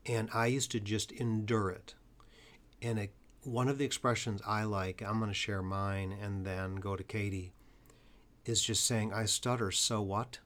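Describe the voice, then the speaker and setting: Male podcast host, earnest, occasional stutter, low-ish voice. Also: steady tone, a little slow, fairly bright tone. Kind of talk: podcast conversation.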